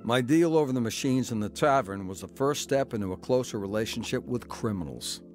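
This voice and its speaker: Animated American tavern owner, played by a man. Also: mid-range voice, fairly quick, over music, close to an everyday voice. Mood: reflective narrating. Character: uneasy male tavern owner telling his life story. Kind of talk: radio drama acting and first-person narration.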